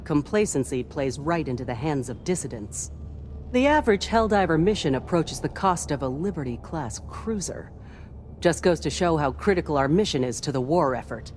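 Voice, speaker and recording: Earnest low-voiced patriotic starship officer. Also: female voice, animated, moderate pace, darkish tone, a little hiss or hum. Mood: resolute, patriotic. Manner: earnest, reverent, dutiful. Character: earnest, devoutly patriotic female starship crew chief. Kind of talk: scripted video game character lines.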